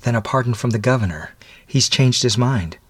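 A man's voice: mid-range voice, animated, fairly quick, slightly rough, dry recording, pitched above the performer's own voice. A man voicing a desperate, overwrought condemned prisoner with pleading, vehement delivery in a melodramatic audiobook. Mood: anxious, eager. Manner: pleading, panting, vehement outbursts.

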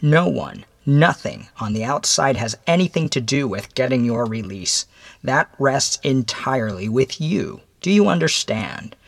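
A man voicing a mysterious, calm older gentleman who questions coolly and deliberately in a dramatic audiobook. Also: medium pitch, vocal fry, no room sound, pitched above the performer's own voice. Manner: deliberate, matter-of-fact, drawn-out questioning. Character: mysterious older bespectacled visitor, calm, coolly probing inquisitor.

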